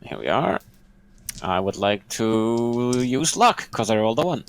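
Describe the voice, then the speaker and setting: Gravelly-voiced, terse male player. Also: mid-range voice, sing-song, a little slow, slight background noise. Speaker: tabletop player. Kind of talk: casual game chat.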